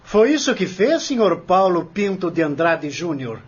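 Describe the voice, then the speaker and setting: Guy doing a stern courtroom lawyer in a radio play, highish husky voice, formal and accusing. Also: sing-song, measured pace, exaggerated voice. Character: stern accusing male lawyer cross-examining a defendant. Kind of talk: audio drama acting. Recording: dull lo-fi audio.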